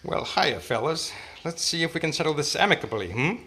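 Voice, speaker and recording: A man's voice: fairly high pitch, sing-song, a little fast, sharp tone, breathy edge, full character voice. A man playing a tough, gallant private eye in an old-time radio drama, with a wry, world-weary, slangy delivery. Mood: cocky. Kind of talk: hardboiled radio detective narration and dialogue. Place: club meeting, live performance before microphone. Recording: noisy amateur live stage recording.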